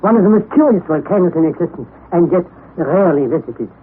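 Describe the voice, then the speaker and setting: Casting: a polite, formal professor, high voice, tinny vintage broadcast. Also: male voice, expressive, quick pace. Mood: helpful. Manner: formal, courteous, hesitant fillers. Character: courteous formal male Icelandic scholar. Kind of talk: 1960s radio drama acting. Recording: phone-like narrow 1960s radio broadcast with faint hiss.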